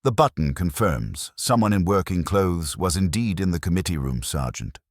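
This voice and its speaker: A man voicing a shrewd police inspector in a mystery story, formal, reflective and deliberate. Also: deep voice, expressive, no echo, clean studio sound. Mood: reflective, probing.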